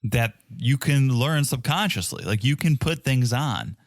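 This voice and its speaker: A man's voice: mid-range voice, animated, a little slow, no echo, studio quality. A man co-hosting a podcast, chatting casually and jokingly about a reality show. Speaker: podcast co-host.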